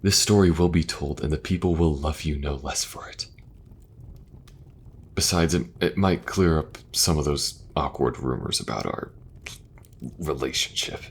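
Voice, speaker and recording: A man playing an earnest young king who speaks gently and falters a little when things get awkward. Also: rumbling low, measured pace, slightly rough, heavily acted. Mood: warm. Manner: gentle, soft-spoken, faltering at awkward moments. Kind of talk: audio roleplay acting.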